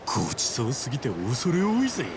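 An actor playing a friendly, rough-spoken, big-hearted man in a video game cutscene, with casual, chummy street slang. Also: medium pitch, wildly swooping pitch, fairly quick, background noise. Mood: easygoing, upbeat.

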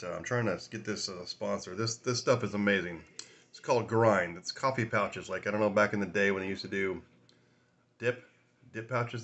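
A man in his forties with a raspy voice from a sinus infection, rambling casually through a whiskey tasting vlog at home, filming on a phone at arm's length. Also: lowish voice, a bit bright. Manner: rambling, chatty, laughs often, many fillers. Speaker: whiskey review host. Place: small room at home, indoors.

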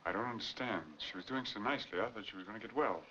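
An actor playing a guarded, scheming middle-aged husband in a 1940s film drama. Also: male voice, lowish voice, rapid-fire, sharp tone. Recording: muffled, hissy 1940s film soundtrack.

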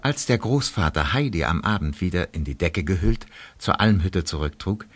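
A male narrator tells a children's story in scripted audio-drama style. His voice is fairly low, with very lively intonation. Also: normal speed, breathy edge.

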